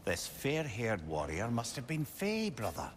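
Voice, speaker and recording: An actor playing a knowing old sage companion in a video game, speaking in a wry, reflective, lilting manner. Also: male voice, medium pitch, wildly swooping pitch, measured pace. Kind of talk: video game drama acting. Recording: noticeable background ambience noise.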